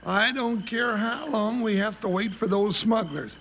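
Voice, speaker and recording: An actor playing a big, jumpy cowboy deputy in a radio western, with an American accent and folksy, complaining rustic speech. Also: male voice, a little slow, fairly bright tone. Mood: folksy, easygoing. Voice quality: high-pitched voice. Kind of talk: 1950s radio western acting. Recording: noisy old-time radio recording.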